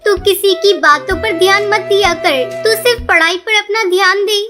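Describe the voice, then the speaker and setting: Poor, devoted cartoon mother bird, played by a woman: extremely high, earnest and pleading, over clear background music. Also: normal speed. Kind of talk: Hindi children's cartoon voice acting.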